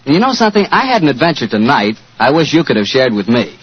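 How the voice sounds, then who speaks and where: A man's voice: medium pitch, big pitch swings, quick pace, slightly rough. A man with an American accent, announcing and reading sponsor copy as a 1940s radio host. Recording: dull lo-fi 1940s radio recording.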